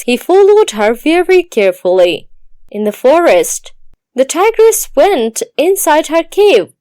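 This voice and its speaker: A woman narrates a scripted moral tale. Her voice is fairly high and very animated in pitch, with a dry, close studio-like sound. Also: no echo. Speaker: storyteller.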